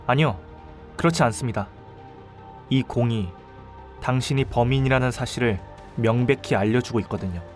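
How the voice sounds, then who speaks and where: A man plays a young student detective in an audio drama, relentless and self-possessed. His mid-pitched delivery is deliberate and formally polite, with dramatic weight. Soft music plays behind him. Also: lightly acted. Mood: cool, confident. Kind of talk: audio drama acting with first-person narration.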